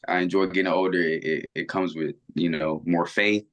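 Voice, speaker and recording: Young man, twenties, very low voice, reflective and rambling, video-call guest. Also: level tone, moderate pace. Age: 20s. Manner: earnest, rambling with many restarts. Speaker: interview guest. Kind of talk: reflective casual conversation.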